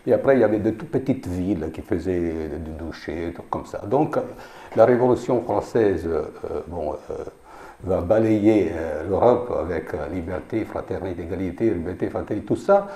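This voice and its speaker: A man's voice: sits low, mellow, roomy sound. A man with an Italian accent giving a history talk in French, a second language, with many restarts, repeated words and fillers. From Italy. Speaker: lecturer. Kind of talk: informal history lecture.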